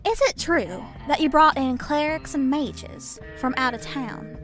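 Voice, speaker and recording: A woman's voice: sits high, measured pace, a bit bright. A woman playing a pushy interviewer who needles and insinuates. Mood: sly. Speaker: interviewer questioning the constable. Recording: music playing behind.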